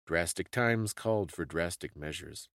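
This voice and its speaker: A man giving a dramatic, scripted story reading in a measured, solemn and theatrical way. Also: sits low, vocal fry, fairly bright tone, no echo.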